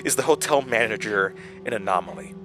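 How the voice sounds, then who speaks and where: Male, lowish voice, anxious questioner in horror audio drama, an actor's role, stammering and hesitant, music underneath. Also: a little fast, lightly acted. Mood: strained, insistent. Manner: hesitant, stammering, broken phrases. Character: troubled, anxious man gently questioning a troubled friend.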